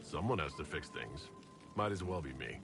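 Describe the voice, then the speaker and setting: An actor playing a steady male leader and hero in a video game, composed and dryly humorous. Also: very deep voice, normal speed, gravelly, background noise, exaggerated voice. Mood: calm, resolute. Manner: composed, dry. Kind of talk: video game character dialogue.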